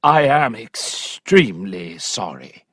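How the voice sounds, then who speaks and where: A man reading a storybook while voicing a dignified gentleman who speaks in a measured, polite way. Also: lowish voice, very animated, breathy edge, dry recording, clean studio sound, heavily acted. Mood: concerned.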